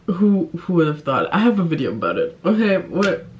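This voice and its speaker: A woman's voice: lowish voice, big pitch swings, normal speed, a little mellow, lo-fi sound, a little hiss or hum. A woman talks casually to camera as a book vlogger. Her delivery is informal and effusive, with a giggly manner that keeps it conversational.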